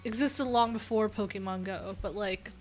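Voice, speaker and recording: Woman streamer, mid-pitched, casual and chatty, reading viewer replies with faint music underneath. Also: normal speed. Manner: chatty, loose asides with frequent fillers. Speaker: livestream host. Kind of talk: casual livestream chat, reading viewer answers aloud. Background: pop punk music playing.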